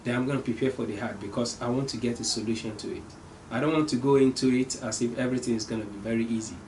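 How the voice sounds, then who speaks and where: Man from Nigeria, business owner, earnest and halting, clear background noise. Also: medium pitch, steady tone, a little mellow, low-quality recording. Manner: earnest, hesitant with many ums. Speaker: company owner. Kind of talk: interview answers.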